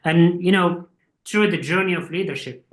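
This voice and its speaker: A man's voice: high voice, moderate pace. A man, a guest explaining his views at length in a casual interview. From Morocco. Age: 40s. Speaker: guest, supply chain executive.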